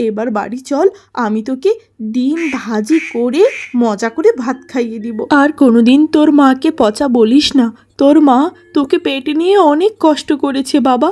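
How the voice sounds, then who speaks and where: A woman's voice: high voice, moderate pace, dark tone. A woman voicing a kind, doting village stepmother in a melodramatic cartoon, tender and imploring. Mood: tender, pleading. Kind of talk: melodramatic cartoon story acting.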